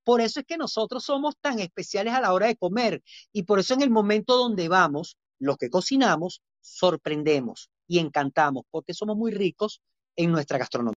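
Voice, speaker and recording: A man's voice: high voice, animated, brisk, no echo. A man with a Venezuelan accent speaks as a guest in an interview, proud and emphatic as he lectures. The recording is dull and lo-fi. The room sounds dead and dry. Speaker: guest chef. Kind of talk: interview talk.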